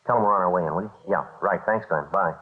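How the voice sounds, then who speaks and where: Casting: terse American police detective, very low and brisk, deadpan, old-time radio crime drama. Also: male voice, slightly rough. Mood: businesslike. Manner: clipped, deadpan, matter-of-fact. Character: terse, methodical male police detective narrating his case. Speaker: narrator and lead actor. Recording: dull old radio broadcast, music behind.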